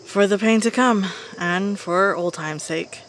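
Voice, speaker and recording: A woman's voice: medium pitch, a little slow, a little hiss or hum, close to an everyday voice. A woman playing a weary old veteran witch in a scripted audio drama, dry, wistful and grumbling. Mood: wry, weary.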